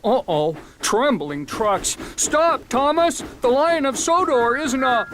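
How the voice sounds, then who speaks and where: A woman's voice: high-pitched, lively intonation, takes it slowly, fairly bright tone, slight background noise, heavily acted. A woman voicing a friendly, exclamatory male steam engine in a children's cartoon. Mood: alarmed. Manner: cheerful, exclamatory. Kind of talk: children's cartoon character acting.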